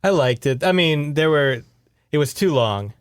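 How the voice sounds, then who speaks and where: A man's voice: fairly high pitch, lively intonation, moderate pace, clean tone, no room sound, studio quality. A man co-hosting a podcast, giving his opinions in casual, conversational talk. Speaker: podcast co-host. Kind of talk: casual chat, reviewing a film.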